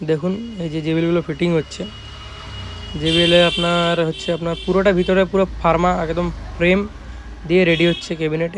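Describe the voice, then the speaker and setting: A man with a West Bengal Bengali accent giving a casual product showcase as a shop owner, in emphatic, repetitive sales talk. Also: a little high. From West Bengal, India. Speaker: speaker shop owner presenting his work.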